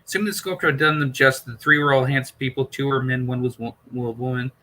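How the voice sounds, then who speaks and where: A man's voice: mid-range voice, fairly even intonation, fast, sharp tone. A man reading a science fiction novel aloud as an amateur narrator, stumbling over words and repeating phrases. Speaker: amateur audiobook reader.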